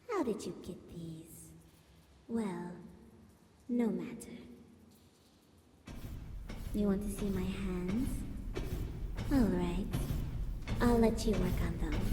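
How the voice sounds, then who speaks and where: A woman's voice: on the low side, dark tone, slight background noise. A woman playing a villainous female spider robot in an ASMR roleplay, a seductive, menacing captor who talks in a haughty, taunting purr. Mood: curious, wary. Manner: slow, purring, haughty and taunting. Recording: echoey hall-like reverb.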